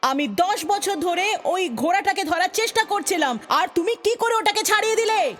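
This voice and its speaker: Shrill, furious greedy witch. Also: expressive, normal speed, a bit bright. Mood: furious, threatening. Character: furious, menacing greedy witch hunting a unicorn. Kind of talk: cartoon villain dialogue. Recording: long reverb, music behind the voice.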